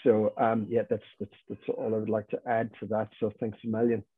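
A middle-aged man, a university academic, speaking haltingly with many repeated words on a webinar panel. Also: lowish voice, little pitch movement, husky, no echo. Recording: tinny dry video call audio.

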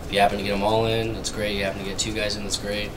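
Low, flat-toned young man at press conference. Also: very fast, noisy background. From Arizona, USA. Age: early 20s. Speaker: college baseball player. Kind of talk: postgame press conference answers. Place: indoor press conference table. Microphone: table microphone.

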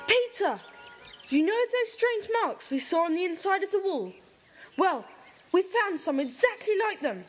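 A woman's voice: very high voice, sing-song, unhurried, faint music behind, full character voice. A woman playing an alert young child. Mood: excited.

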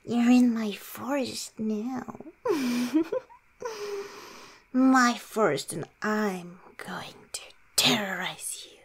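A woman acts out a cute young female werewolf in an intimate roleplay. Her voice is high and her delivery very slow and halting. She comes across as pouty, flustered and unsure of herself. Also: a little hiss or hum. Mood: playfully menacing. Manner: halting, pouty, self-deprecating. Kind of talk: improvised ASMR roleplay, talking to listener.

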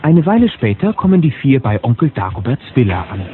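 A man's voice: medium pitch, big pitch swings, a little fast, over music, natural voice. A man narrating a children's audio drama as a chatty, knowing storyteller who poses rhetorical questions. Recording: phone-like old cassette sound.

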